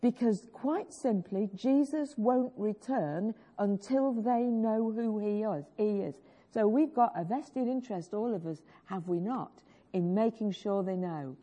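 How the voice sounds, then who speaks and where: A woman's voice: mid-range voice, a little slow, mellow. A woman with a growly voice preaching a sermon, earnestly urging the congregation and coaxing them to join in. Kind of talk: sermon, teaching a worship song. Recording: dull lo-fi recording.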